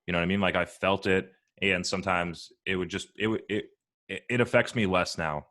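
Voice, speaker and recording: A man hosting a casual chat, easygoing and a bit halting as he talks. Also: deep voice, steady tone, fairly bright tone. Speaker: host.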